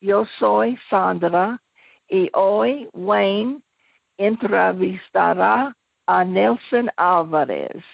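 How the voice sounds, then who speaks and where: A woman hosting a radio show who introduces the program and its guest slowly and deliberately. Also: mid-range voice, gravelly, dry recording, phone-quality sound. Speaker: radio host.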